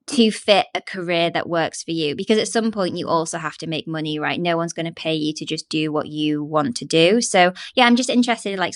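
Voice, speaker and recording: A woman's voice: fairly low pitch, no echo, studio quality. A young woman hosting a casual podcast interview, asking long, rambling questions full of fillers. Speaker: podcast host.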